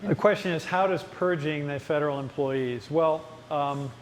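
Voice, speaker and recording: Halting man explaining at a town hall. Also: fairly high pitch, a little hiss or hum. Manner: explanatory, halting with many fillers and restarts. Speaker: city official at a ward town hall. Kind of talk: public town hall answers. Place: town hall meeting room.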